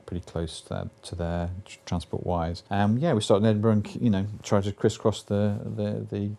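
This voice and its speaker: A man with a low, mellow voice gives a documentary interview. He talks plainly and thoughtfully and restarts now and then. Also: steady tone, normal speed, gravelly.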